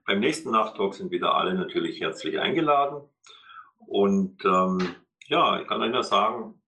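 A man's voice: lowish voice, animated, moderate pace, sharp tone, a little hiss or hum. A man as host giving rambling closing remarks to an audience, laughing between phrases.

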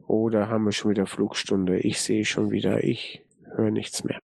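Man, casual radio host, rambling, low dark voice, dry close mic. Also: flat delivery, normal speed, creaky, no echo. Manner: rambling, warmly thanking guests and listeners. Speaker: host of an online radio show. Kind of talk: casual live broadcast chat.

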